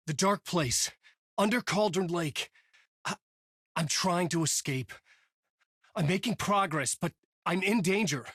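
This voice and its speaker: A man's voice: mid-range voice, breathy edge, dry recording, studio quality. A man playing a haunted, brooding writer hero in a video game, speaking intense noir lines in short clipped phrases. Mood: desperate, pleading. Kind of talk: noir scripted narration and dramatic game dialogue.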